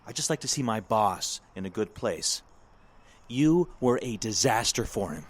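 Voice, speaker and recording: Snide, protective sidekick producer. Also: male voice, lowish voice, animated, moderate pace. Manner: dry, disapproving. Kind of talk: audio drama acting.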